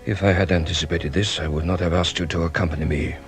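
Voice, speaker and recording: An actor playing a shrewd French police inspector in a radio detective drama, speaking formally, with theatrical courtesy and dry authority. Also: male voice, sits low, steady tone, fast talker, faint music behind, lightly acted. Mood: grave. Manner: theatrical, formal, drily polite.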